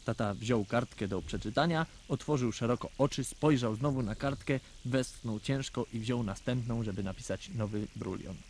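A man's voice: medium pitch, normal speed, hardly any pauses, a little mellow, a little hiss or hum, close to an everyday voice. A man playing a naive, earnest little boy who tells his own story in a radio play. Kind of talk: scripted first-person storytelling in a radio play.

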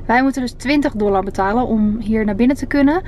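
A young woman from the Netherlands talks chattily to her camera on a road trip. Her voice sits high and light. Car and wind noise run clearly underneath the camera audio. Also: quick pace, darkish tone. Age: 20s. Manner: chatty and upbeat, talking to the camera. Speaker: travel vlogger. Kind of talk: casual travel vlog narration. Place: inside a car and outdoors in windy desert. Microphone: camera held at arm's length.

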